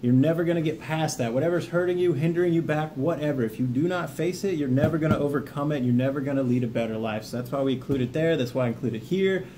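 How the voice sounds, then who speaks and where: A man's voice: on the high side, quick pace, few pauses, noisy background. A man giving informal, encouraging instructions to viewers, partly from notes, in a plainspoken way. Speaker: veteran hosting a self-help video series. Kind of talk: instructional talk to camera, reading from notes.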